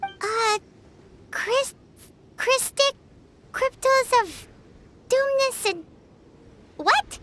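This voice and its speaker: A woman voicing a tiny, childlike fairy companion in a video game, squeaky, cute and naive. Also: lively intonation, very slow, pauses often, a little hiss or hum, exaggerated voice. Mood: baffled. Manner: cute, naive, simple speech. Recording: loud music behind the voice. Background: music.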